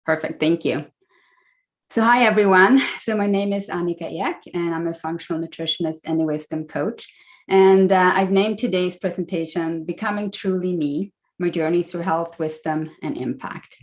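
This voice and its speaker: A woman's voice: fairly low pitch, normal speed. A woman in her forties with a Swedish accent, chatting through a slide talk as a guest speaker on a video call. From Sweden. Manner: friendly, chatty, many fillers.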